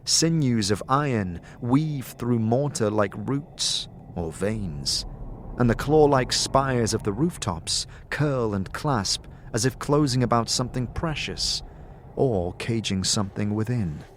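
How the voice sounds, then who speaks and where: A man's voice: medium pitch, animated, slow, slight background noise. A man reading dramatic, scripted second-person narration of a fantasy story. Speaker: narrator and game master. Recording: music under the voice. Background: background music.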